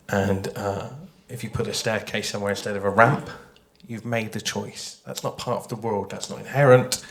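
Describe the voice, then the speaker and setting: A man with a somewhat low voice talks as a guest on a festival panel. He is thoughtful and measured, with hesitant phrasing as he works through his point. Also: a bit bright.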